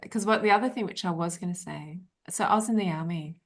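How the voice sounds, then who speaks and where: A woman's voice: lowish voice, fast. A middle-aged woman hosting an interview, talking in a chatty, rambling way with many fillers. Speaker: podcast host. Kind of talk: casual interview chat.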